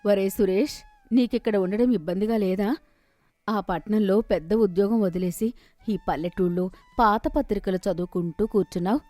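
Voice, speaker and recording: A woman voicing a worried, superstitious village mother who gently chides, in an animated moral story. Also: mid-range voice, moderate pace, no room sound, faint music behind, close to an everyday voice. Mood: chiding. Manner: chiding, cautioning.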